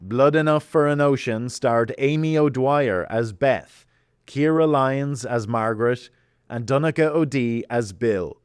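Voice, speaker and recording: A man's voice: medium pitch, a little slow. A man reading scripted closing credits as an announcer. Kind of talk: scripted narration.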